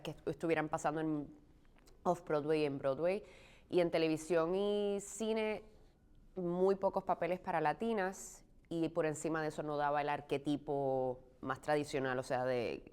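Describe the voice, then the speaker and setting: A woman raised in Puerto Rico, an actress answering interview questions thoughtfully, often pausing with fillers. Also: low-pitched, a little mellow, clean tone. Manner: thoughtful, frequent restarts and "eh" fillers.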